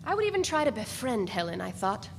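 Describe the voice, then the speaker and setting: A woman's voice: mid-range voice, sing-song, fast, gravelly. A woman giving a dramatic reading of a novel as a first-person narrator. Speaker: reader of a novel. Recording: echoey hall, faint background noise.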